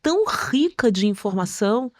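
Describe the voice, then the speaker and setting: A middle-aged Brazilian woman telling stories conversationally as an interview guest, articulate and expressive, acting out quotes as she goes. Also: medium pitch, moderate pace, dry recording, clean studio sound. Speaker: podcast guest.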